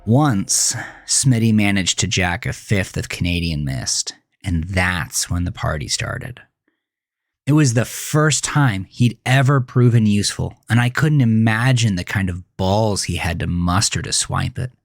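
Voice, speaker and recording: An actor playing a jaded man who looks back on his angry teenage years in a brooding, confessional horror monologue with halting pauses. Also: on the low side, animated, gravelly, no echo, clean studio sound. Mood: wistful, bitter. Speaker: narrator of a horror audio drama. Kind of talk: first-person scripted horror narration, dramatic monologue. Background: music.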